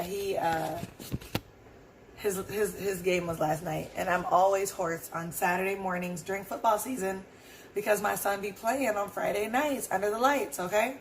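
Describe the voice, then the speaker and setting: A hoarse woman hosting her own podcast, talking through her thoughts in a rambling, earnest way. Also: mid-range voice, a little hiss or hum, rough recording. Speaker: podcast host. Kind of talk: casual solo reflection.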